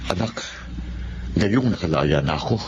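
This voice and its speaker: Deep-voiced, remorseful Filipino father. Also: lively intonation, unhurried, background noise. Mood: warm, fatherly. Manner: earnest, emotive. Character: middle-aged father freed from prison, tender, remorseful. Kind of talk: Filipino radio drama acting. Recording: muffled radio drama with music behind.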